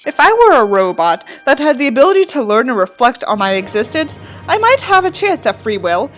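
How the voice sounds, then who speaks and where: A woman's voice: high voice, sing-song, fairly quick. A woman voicing a bitter, self-important nihilistic teenage boy in a theatrical, contemptuous dramatic reading of his journal, over music. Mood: smug, detached.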